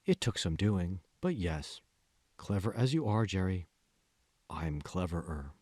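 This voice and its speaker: Man voicing a smug, low, measured AI assistant. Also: lively intonation, darkish tone, no echo, studio quality. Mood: condescending. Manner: slow, condescending, coolly controlling. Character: smug, manipulative AI assistant speaking from a phone. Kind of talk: audiobook character voice.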